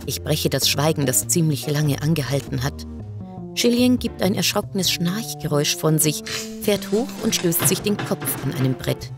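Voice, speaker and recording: Female story reader, low register, scripted first-person narration, clear music bed underneath. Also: animated, normal speed. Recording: music behind the voice.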